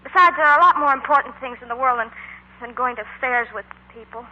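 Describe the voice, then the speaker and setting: An earnest schoolgirl with a proud streak, in 1940s radio drama style. Her voice is high and strikingly sharp. The recording is dull and lo-fi. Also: fast talker. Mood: hurt, restrained.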